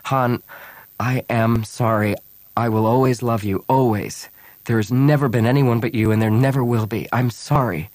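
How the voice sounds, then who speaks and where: Man voicing earnest rebel woman, raised pitch, pleading. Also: lively intonation, normal speed, no echo, lightly acted. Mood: anguished. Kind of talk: audiobook character acting.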